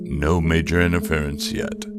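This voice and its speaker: A man's voice: level tone, moderate pace, over music. A man playing a reserved, wryly grim occult investigator in a gothic audio drama. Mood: terse, grave. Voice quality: very deep voice.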